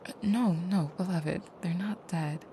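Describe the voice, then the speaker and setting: A woman playing a gentle, caring vampire girlfriend in an intimate audio roleplay, speaking softly and hesitantly, often near a whisper. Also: fairly low pitch, unhurried, a little hiss or hum. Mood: hesitant, confiding.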